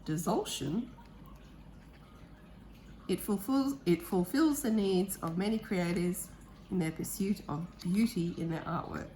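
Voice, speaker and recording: A woman with a croaky voice, chatting casually and eagerly about art supplies as she unboxes them, with the odd um. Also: lowish voice, lively intonation, unhurried, pauses often, warm tone, slight background noise. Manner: chatty, enthusiastic, thinking aloud with ums. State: croaky voice, clearing throat. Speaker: art vlogger.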